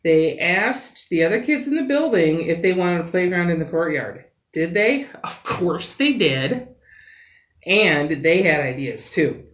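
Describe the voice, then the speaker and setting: A woman reading a picture book aloud expressively, with dramatic pauses and emphasis. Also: lowish voice, very animated. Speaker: children's story reader. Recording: roomy, echoing sound.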